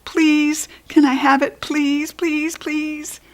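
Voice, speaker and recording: Woman voicing an excitable, high-pitched little girl. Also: takes it slowly. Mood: pleading. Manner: emphatic, childlike phrasing with repeated pleas. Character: excitable, gushy, pleading little girl narrator, dramatic and stubborn. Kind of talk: children's storybook reading.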